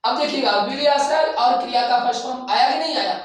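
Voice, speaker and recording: A high-pitched male teacher speaks from well away from the microphone in a heavily reverberant room. He goes slowly through a lesson step by step, restating phrases and asking questions that he answers himself. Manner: didactic, repeats phrases and asks rhetorical questions. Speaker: English grammar teacher. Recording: very echoey room, distant microphone.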